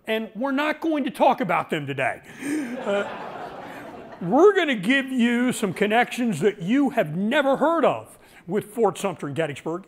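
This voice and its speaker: A middle-aged man speaks with a big, carrying voice, pitched on the high side for a man. He talks energetically to a seated audience indoors, lecturing into a handheld microphone. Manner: loud, energetic, gestures broadly while talking. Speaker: foundation president giving a lecture. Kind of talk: public lecture.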